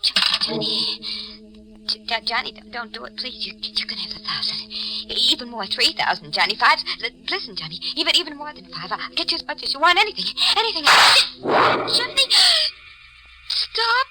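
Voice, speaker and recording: An American actress playing a scheming, frightened femme fatale, breathless and pleading in 1940s radio thriller style. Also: female voice, sits high, big pitch swings, normal speed. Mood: desperate terror. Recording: hissy vintage 1940s radio broadcast.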